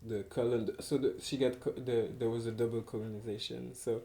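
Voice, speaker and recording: A man's voice: medium pitch, level tone, brisk, dark tone. Hesitant French-accented man in echoey room. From France, Paris. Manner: hesitant, many fillers and restarts, laughs often. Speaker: textile artist, guest. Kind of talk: casual conversation. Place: small farmhouse room, indoors.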